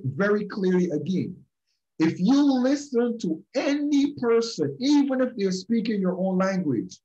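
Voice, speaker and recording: A man's voice: sits high, darkish tone, lo-fi sound. A man with a Barbadian accent speaking emphatically in a group session, repeating key phrases and addressing listeners directly, on a video call. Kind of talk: preachy motivational talk.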